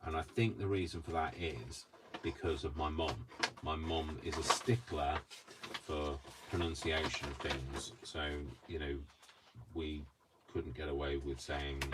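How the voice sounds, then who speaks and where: British guy w/ a mild posh accent rambling while crafting, low voice, kinda far from the mic. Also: fairly even intonation, a little slow. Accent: mild posh British English. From Coventry, England. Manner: rambling, hesitant, self-deprecating, many ums. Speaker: craft vlogger. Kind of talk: casual chat while crafting. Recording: distant mic with clear background noise.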